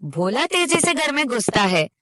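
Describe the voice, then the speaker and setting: A woman's voice: high-pitched, big pitch swings, normal speed, noisy background. A woman narrating a cartoon moral tale in a scripted storytelling style.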